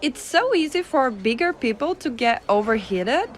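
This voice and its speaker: A woman playing a gentle, teasing giantess secretary in an ASMR roleplay, coaxing and cooing softly. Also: high-pitched, very animated. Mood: doting, teasing. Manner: soft coaxing, cooing, unhurried.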